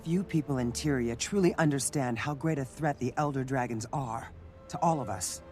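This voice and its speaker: An actor playing a noble veteran male hero in a fantasy video game, earnest and measured. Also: medium pitch, darkish tone, faint music behind, lightly acted. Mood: solemn, earnest. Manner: measured, solemn.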